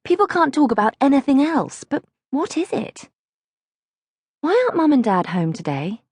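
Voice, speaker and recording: A woman's voice: sits high, sing-song, moderate pace, a little mellow, no room sound, voice pushed up. A woman voicing an anxious, inquisitive eight-year-old girl in an audiobook diary, plaintive and full of questions. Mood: indignant, puzzled. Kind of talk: acted audiobook diary reading.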